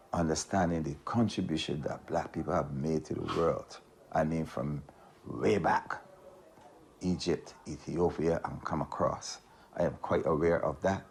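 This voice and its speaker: A man from Barbados with a Barbadian accent, calmly recounting a personal experience in an interview. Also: a bass voice, a little slow, gravelly.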